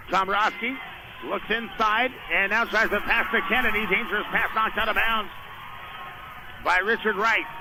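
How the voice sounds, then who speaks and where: An American man doing live radio play-by-play of a basketball game in clipped, running phrases. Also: high-pitched. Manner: clipped, running description of the action. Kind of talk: live radio sports commentary. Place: indoor basketball gym during a game. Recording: noisy live radio gym broadcast.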